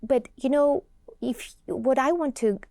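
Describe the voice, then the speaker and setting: A woman with a Georgian accent, a poet and writer being interviewed in a TV studio, speaking in a reflective, earnest, unhurried way. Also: high voice, vocal fry, warm tone, no echo.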